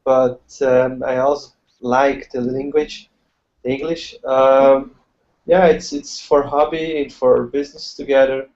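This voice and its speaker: A man's voice: mid-range voice, level tone, takes it slowly, a little mellow. A man from Switzerland who speaks natively, an English student talking hesitantly with many uhs over a video call. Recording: dull lo-fi video call audio.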